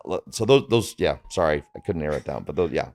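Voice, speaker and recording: A man with a low voice talks casually, as a voice actor in conversation. He is a little hesitant, feeling his way through each thought. The recording is dry and free of echo. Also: expressive, fast, a little mellow. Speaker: voice actor in a discussion. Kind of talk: casual chat about favorite comics and films.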